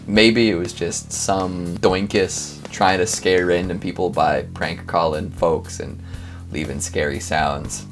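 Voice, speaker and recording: Low-voiced man doing animated, rambling commentary. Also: takes it slowly, soft background music. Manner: animated, rambling, wry, with sudden exclamations. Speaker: host reacting to scary clips. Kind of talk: casual comedic commentary.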